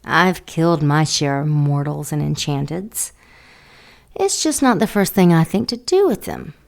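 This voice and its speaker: A woman playing a seductive female dark angel who teases and mocks in an audio drama. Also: low voice, lively intonation, a little slow, gravelly, exaggerated voice. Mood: teasing. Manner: teasing, sultry, mocking.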